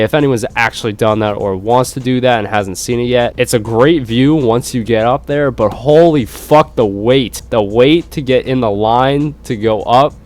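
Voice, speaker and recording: A young man, nasal and congested from a cold, chatting casually in a rambling vlog and swearing freely. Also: lowish voice. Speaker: gaming YouTuber.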